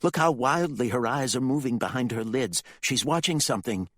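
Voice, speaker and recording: A concerned, watchful woman with a lifted voice and an animated lilt, as a male narrator puts her on. The read is dry and close, like a storybook audiobook. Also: mid-range voice, fairly quick, dry recording, pitched above the performer's own voice. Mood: worried. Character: worried woman watching over a sleeping girl.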